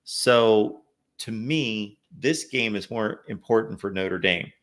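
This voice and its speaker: A man hosting a live call-in sports show, a little raspy from no sleep, chatting through viewer comments and game analysis. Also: on the low side, takes it slowly, a bit bright. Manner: reads viewer comments aloud, conversational analysis. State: slightly raspy from lack of sleep. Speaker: host.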